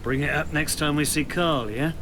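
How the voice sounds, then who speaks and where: A man's voice: fairly high pitch, normal speed, music in the background, natural voice. A man acting a wry, tough commando soldier in a game cutscene, with dry colloquial banter.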